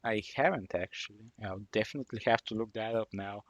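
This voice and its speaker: A man's voice: lowish voice, fairly quick, no echo, highs cut off. A man co-hosting a podcast in casual conversation. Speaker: podcast co-host. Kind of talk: casual chat.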